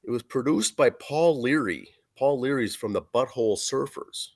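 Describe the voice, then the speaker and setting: Hoarse male co-host, forties, casual note-reading, webcam call. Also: mid-range voice, expressive, normal speed, darkish tone. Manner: reads facts and track lists with fillers. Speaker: podcast co-host reviewing an album. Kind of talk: casual podcast chat, reading notes. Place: small room at home. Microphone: video call webcam.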